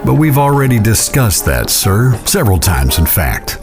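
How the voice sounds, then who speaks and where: Deferential, lively cowboy henchman played by a man. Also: on the low side, moderate pace, music in the background. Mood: uneasy, cautioning. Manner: folksy, hesitant, respectful. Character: deferential, uneasy cowboy henchman reporting to his boss. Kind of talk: western audio drama acting.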